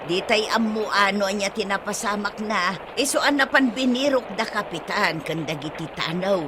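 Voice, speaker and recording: A woman's voice: medium pitch, normal speed, background noise, natural voice. An actress with an Ilocano Filipino accent playing an anxious, scolding village mother in a radio comedy drama. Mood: worried.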